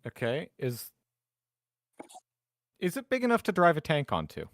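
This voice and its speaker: A man's voice: a little high, huge pitch swings, normal speed, no room sound, clean studio sound. A man playing a tabletop roleplaying game, chatting casually in a blunt, wry way.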